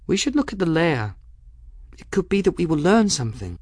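A man's voice: high-pitched, sing-song, fairly quick, darkish tone, slightly rough, no echo, clean studio sound, voice pushed up, exaggerated voice. A man voicing a brave, practical young girl with an earnest, formal and firm manner in a storybook reading. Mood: determined.

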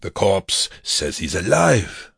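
A hardened male prisoner in an audiobook drama, put on by a male narrator. His voice is rough and husky, raised from a low register. He goads with urgent, biting insistence. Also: sing-song, slow pace, dry recording, studio quality, heavily acted. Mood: harsh taunting. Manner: goading, rough, half-whispered at times. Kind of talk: audiobook character voice.

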